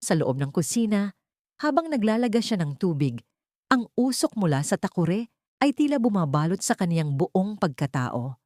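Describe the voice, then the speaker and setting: Woman narrating a dramatic story, very expressive pitch swings, dry close recording, solemn. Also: normal speed, no room sound.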